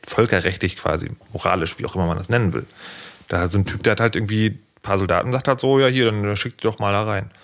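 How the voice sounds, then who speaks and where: A man from the former East Germany talks in a low voice. He thinks out loud and circles back, with many fillers and restarts. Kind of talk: casual discussion.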